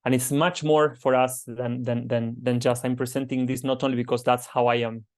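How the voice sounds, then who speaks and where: Earnest accented man with a smooth voice. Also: mid-range voice, level tone. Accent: non-native English. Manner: earnest, halting with many fillers. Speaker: advocacy team member. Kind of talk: personal testimony on a webinar. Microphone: conference call microphone.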